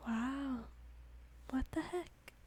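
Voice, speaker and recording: A woman whispering an ASMR reading in a soft, gentle voice, with light giggles between passages. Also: high voice, drawn-out words, slight background noise. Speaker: ASMR host.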